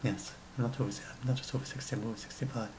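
A man's voice: medium pitch, fairly even intonation, rapid-fire, a little mellow, a little hiss or hum. Rambling older man summarising at home. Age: 60s. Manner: rambling, self-correcting. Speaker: science blogger. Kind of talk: casual solo commentary. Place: small room at home. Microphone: webcam at desk.

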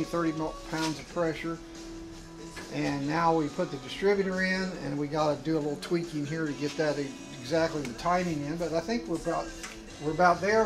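A man's voice: on the high side, rough recording. An older man chatting casually and wryly while working on an old car in his home garage. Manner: folksy, rambling, wry. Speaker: mechanic and show regular. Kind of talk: casual workshop chat.

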